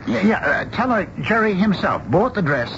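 An exasperated, middle-aged American dad in a radio play. His pitch leaps up and down as he insists and stammers. The old broadcast sound is dull with a faint hiss. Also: big pitch swings, normal speed, a bit bright, full character voice. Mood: exasperated, scheming. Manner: insistent, stammering, repeating himself. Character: bossy, exasperated father of a bride, middle-aged man. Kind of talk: radio drama acting.

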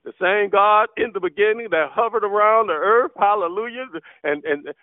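A man leads a fervent spoken prayer, his voice sitting somewhat high for a man. He speaks in impassioned, repetitive bursts full of hallelujahs. The sound is thin and tinny, as over a telephone, with a dry, close sound. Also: normal speed, no room sound. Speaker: prayer line leader. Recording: tinny phone line, dry.

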